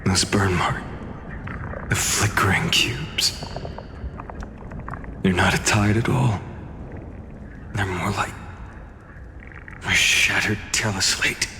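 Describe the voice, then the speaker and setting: An actor voicing a grave, weary male companion in a game cutscene, speaking quietly and measured. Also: low voice, long reverb tail, a little hiss or hum, full character voice. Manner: quiet, measured, half-whispered delivery.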